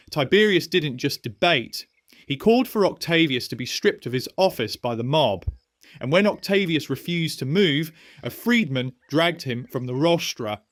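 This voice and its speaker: A man's voice: on the high side, a bit bright, no room sound, clean studio sound. An actor in a historical audio drama playing an agitated, argumentative young Roman nobleman who speaks earnestly and urgently.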